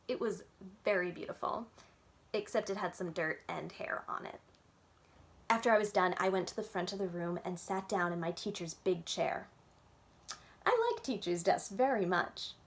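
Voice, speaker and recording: Expressive woman reading a children's story. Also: medium pitch. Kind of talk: expressive first-person children's book narration.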